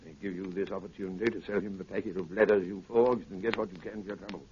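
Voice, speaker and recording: Villain lead, 1930s radio play: man as commanding, menacingly courteous mastermind, deep voice, dull crackly sound. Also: steady tone, a little mellow, close to an everyday voice. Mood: menacingly polite. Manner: deliberate, imperious, menacingly polite. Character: cold commanding male criminal mastermind, giving orders to henchmen. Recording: noisy, muffled 1930s radio recording with clicks.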